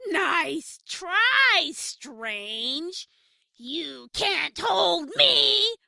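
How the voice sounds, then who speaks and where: A woman playing an ancient, menacing, haughty demon hag in an audio drama, with a creaky, shrieking voice and grandiose threats. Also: extremely high pitch, very animated, pushed and bright, dry recording, full character voice. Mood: defiant, menacing. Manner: grandiose, slow threatening speech.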